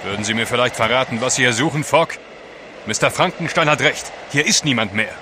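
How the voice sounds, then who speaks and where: Wary expedition seafarer male actor, sardonic, exclamatory, medium pitch, audio drama with noisy ambience. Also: a little fast, natural voice. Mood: sceptical. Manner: blunt, sardonic. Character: wary, plain-spoken, exclamatory seafarer on polar trek. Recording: clear outdoor background noise.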